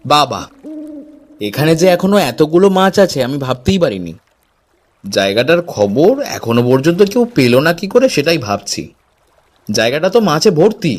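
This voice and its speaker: An eager village fisherman from a cartoon, acted by a man. His voice sits a little high and swings up and down in lively fashion. He sounds knowing and persuasive. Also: moderate pace. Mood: amazed. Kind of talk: Bangla cartoon voice acting.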